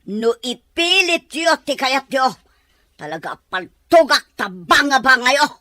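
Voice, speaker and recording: A woman's voice: high voice, sharp tone, dry recording, exaggerated voice. A woman playing a domineering, scheming mother in a radio-drama style, stern and unyielding. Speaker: actor in an audio drama. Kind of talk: Ilocano radio-drama acting.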